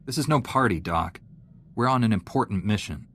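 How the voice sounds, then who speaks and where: A principled male space knight from a video game, low-pitched and serious. His delivery is measured and dry, with faint ambient noise behind the dialogue. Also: lively intonation, a bit bright. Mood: stern, dry. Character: earnest, principled, straight-laced male Jedi knight hero.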